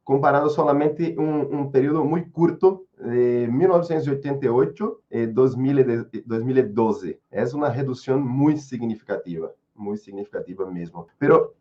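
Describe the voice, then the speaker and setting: A man who is a native Portuguese speaker with a Brazilian accent, giving a webinar talk in careful, halting speech full of restarts and fillers. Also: mid-range voice, muffled recording. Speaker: guest speaker, researcher. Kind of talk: webinar presentation.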